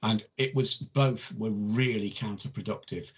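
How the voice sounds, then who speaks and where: Chatty Liverpool woman, husky voice. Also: rumbling low, steady tone, measured pace, lo-fi sound. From North West England. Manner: chatty, calls people darling and love. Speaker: host. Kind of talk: casual chat.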